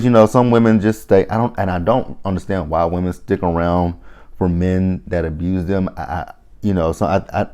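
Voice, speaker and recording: A man's voice: sits low, warm tone. A man in his thirties giving a casual, blunt recap of a reality show, opinionated and rambling, swearing freely and often restarting his sentences. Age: 30s. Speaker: reality TV reviewer.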